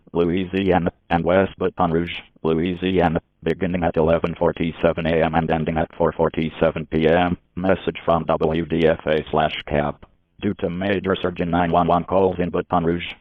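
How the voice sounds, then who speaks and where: A synthetic male voice playing an automated emergency alert announcer, reading a formal bulletin in a flat, mechanical way. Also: sits low, no echo, close to an everyday voice. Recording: phone-like, narrow-band radio alert sound.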